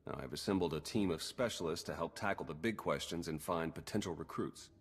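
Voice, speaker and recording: Easygoing male game operative. Low, husky voice, dry and understated, a little weary. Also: brisk. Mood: businesslike. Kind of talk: scripted game character dialogue.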